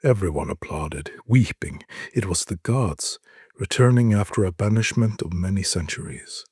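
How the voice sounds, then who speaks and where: A man with a husky voice giving a deliberate, solemn dramatic reading of literary short stories, with measured pauses. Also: deep voice, animated, a little mellow, dry recording, clean studio sound.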